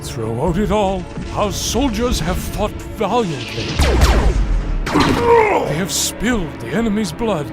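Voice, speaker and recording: A man's voice: high-pitched, very animated, slow, full character voice. A man playing a grave, regal king of a besieged world in an audio drama, speaking in solemn, declamatory phrases. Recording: music behind the voice.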